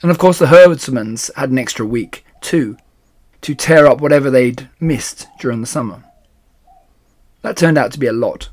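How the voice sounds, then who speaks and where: A man's voice: medium pitch, moderate pace. Man reading a children's story aloud. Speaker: storybook reader.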